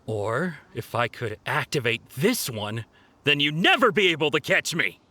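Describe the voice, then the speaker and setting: A man's voice: on the high side, sing-song, unhurried, sharp tone, exaggerated voice. An actor playing a boastful male thief who has been caught, indignant and flustered. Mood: grumbling. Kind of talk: video game voice acting.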